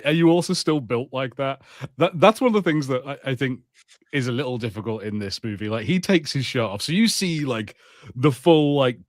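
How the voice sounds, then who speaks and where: A man's voice: mid-range voice, dry recording, clean studio sound. A man co-hosting a podcast, chatting casually about films and shows with wry, jokey asides. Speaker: podcast co-host. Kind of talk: casual film banter.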